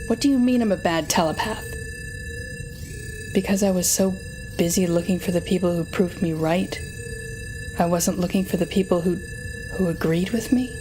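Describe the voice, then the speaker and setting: A woman's voice: on the low side, music in the background, natural voice. A woman playing a sardonic, telepathic supervillain in an audio roleplay, speaking straight to the listener with dramatic, wry exasperation. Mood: defensive, skeptical. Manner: dramatic, wry, exasperated, halting when flustered.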